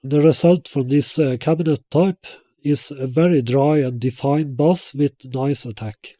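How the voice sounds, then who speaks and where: Slow, halting man with a mellow voice. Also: mid-range voice, no echo, clean studio sound. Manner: halting, hesitant delivery. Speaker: loudspeaker designer presenting own product. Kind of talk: product presentation with technical explanation.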